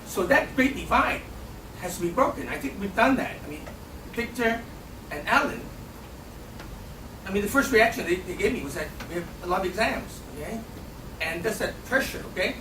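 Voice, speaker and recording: High-voiced man. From Hong Kong. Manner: punctuates nearly every phrase with a questioning "okay?". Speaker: student election candidate. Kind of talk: campaign debate speech. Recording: echoey, distant, noisy room recording.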